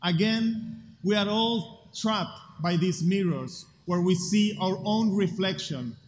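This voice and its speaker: High-voiced Argentine man in a big hall. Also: slight background noise. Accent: Argentine Spanish. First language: Spanish. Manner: earnest, deliberate with rhetorical pauses. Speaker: speaker at a future festival. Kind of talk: conference talk. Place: large hall at a festival. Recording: live hall with long reverb, faint ambience.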